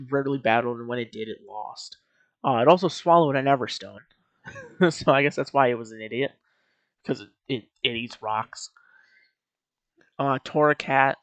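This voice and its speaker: A man's voice: medium pitch, fairly bright tone, no echo. Male video host, mid-range voice, informal and opinionated delivery, very dry sound. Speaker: video host giving opinions on an anime series. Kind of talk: casual commentary ranking a cartoon series.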